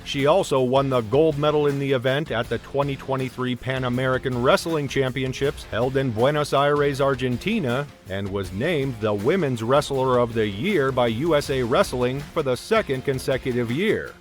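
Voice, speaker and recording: A man of middle pitch narrates a sports documentary in a steady, even read-aloud manner. His delivery stays scripted and measured throughout, with soft music playing faintly underneath. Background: faint background music.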